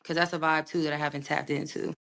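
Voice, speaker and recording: A young woman recording artist in a casual interview, talking in a slangy, animated way with plenty of swearing. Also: low voice, fast talker, vocal fry. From United States, raised partly in Puerto Rico.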